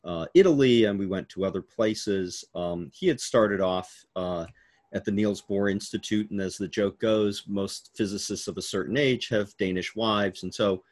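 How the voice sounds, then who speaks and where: A man in his sixties giving a halting, storytelling answer in an informal virtual interview, with many fillers and the odd laugh. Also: fairly low pitch, darkish tone. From United States. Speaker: keynote speaker. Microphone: video call.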